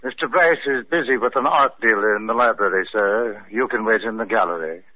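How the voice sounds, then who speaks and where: A man's voice: fairly low pitch, a little fast, close to an everyday voice. Prim old butler played by a man, tinny sound. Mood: formally polite. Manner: formal, deferential, stiff. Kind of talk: old-time radio acting. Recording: tinny, phone-like old radio recording.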